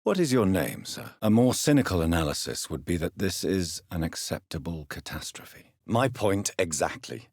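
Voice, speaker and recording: A man playing a grave, courteous, troubled gentleman doctor turned vampire in a video game, speaking formally and earnestly with a husky voice. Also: sits low, very animated, normal speed, hardly any pauses, no room sound, studio quality, heavily acted. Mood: polite, probing. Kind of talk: dramatic video game dialogue.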